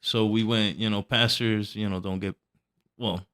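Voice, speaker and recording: A young man from Puerto Rico, a pro wrestler guest, chatting casually in relaxed street slang and often trailing off. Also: lowish voice, unhurried, clear, resonant tone. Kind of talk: casual podcast chat.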